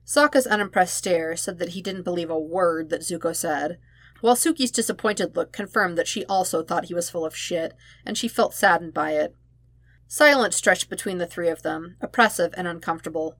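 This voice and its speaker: Low-voiced woman narrating a story, close and dry. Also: moderate pace, fairly bright tone, no echo. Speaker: podfic narrator. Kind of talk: expressive audiobook-style fan fiction narration.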